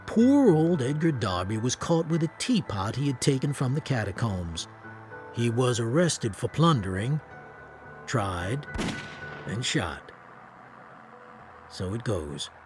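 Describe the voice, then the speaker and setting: An actor playing a reflective war-veteran narrator in a radio drama, telling a grim story in a measured, understated, matter-of-fact way. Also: male voice, medium pitch, very animated, soft background music.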